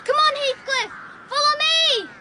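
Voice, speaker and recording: A woman's voice: very high voice, measured pace, very noisy. A woman playing a wild, playful, defiant young girl in a radio period drama. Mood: excited.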